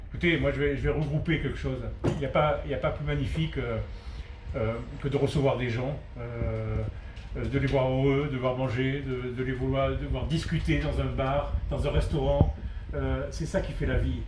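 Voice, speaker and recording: A man's voice: medium pitch, fairly even intonation, normal speed. A middle-aged man from France, answering casually as a restaurant cook being interviewed, hesitant and repeating words as he searches for phrasing. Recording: echoey room with faint noise.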